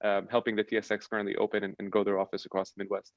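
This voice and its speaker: Fast-talking low-voiced young man. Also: no room sound, highs cut off. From Lebanon. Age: young adult. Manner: relaxed, chatty, frequent restarts. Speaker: podcast guest. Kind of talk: casual chat.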